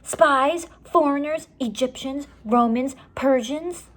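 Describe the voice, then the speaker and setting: A woman voicing gruff, threatening male medieval castle guards in a storybook, barking out accusations. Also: high voice, takes it slowly, pitched above the performer's own voice. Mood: accusing.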